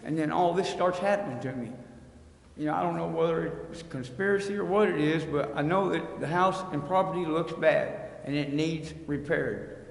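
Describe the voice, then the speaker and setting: A man's voice: a little high, moderate pace, gravelly. Echoey man making a public plea. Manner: plain-spoken, pleading. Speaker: resident appealing at a city council hearing. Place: council chamber. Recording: echoey hall, long reverb, faint noise.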